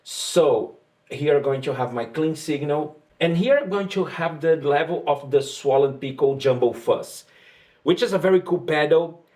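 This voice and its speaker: A man's voice: a little high, normal speed. A man from Brazil with a Brazilian accent and Portuguese as his first language, chatting casually as he explains his guitar rig. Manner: relaxed and chatty, with frequent fillers and restarts. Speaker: guitarist demoing gear. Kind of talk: casual gear demo talk.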